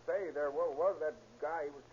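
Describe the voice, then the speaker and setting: A man's voice: a little high, steady tone, darkish tone, natural voice. Man acting a stuttering 1930s working man. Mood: halting, earnest. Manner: heavy stutter, halting. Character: stammering working-class city laborer, plain and eager witness. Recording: muffled noisy 1930s radio recording.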